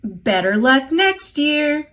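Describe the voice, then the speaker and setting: A cocky little two-hundred-year-old leprechaun man from a picture book, acted out by a woman. The voice is fairly high and swoops playfully, taking its time over each teasing, sing-song rhyme. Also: sing-song, takes it slowly, fairly bright tone, heavily acted. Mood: boastful, playful. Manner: playful, taunting, sing-song rhyming delivery.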